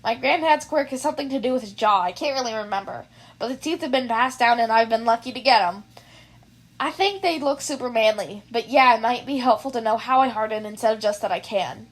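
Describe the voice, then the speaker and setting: A woman's voice: a little high, a little fast, natural voice. A woman voicing a friendly, modest teenage boy with a casual, slangy way of talking, in a story reading. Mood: thoughtful. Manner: casual, slangy, good-natured. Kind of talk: fan fiction audiobook character voice.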